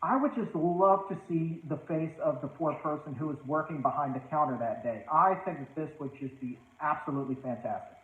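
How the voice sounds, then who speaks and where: A man hosting an interview in a casual, joking way, rambling with animated asides. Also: on the high side, brisk, hardly any pauses. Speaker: podcast host. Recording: tinny phone-like line, echoey room.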